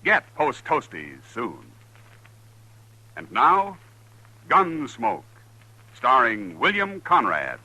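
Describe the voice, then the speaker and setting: A man announcing and pitching a sponsor in emphatic, salesmanlike 1950s radio style. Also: wildly swooping pitch, very slow, long pauses, sharp tone, no echo, poor audio. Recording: husky old radio broadcast, faint music.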